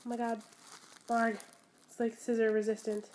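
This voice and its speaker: A woman's voice: a little high, completely flat delivery, normal speed, a little mellow, a little hiss or hum. A young woman vlogger with a head cold, chatting casually and rambling as she unboxes small toys, gushing and giggling. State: congested with a cold. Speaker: squishy collector vlogger. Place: indoors at home, camera pointed at a table.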